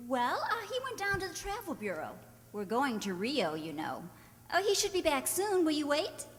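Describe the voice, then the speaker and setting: A woman's voice: high-pitched, huge pitch swings, normal speed, slight background noise. A woman playing a chatty, slightly timid housewife in a radio play, sociable and lilting. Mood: bright, sociable. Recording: echoey hall, long reverb.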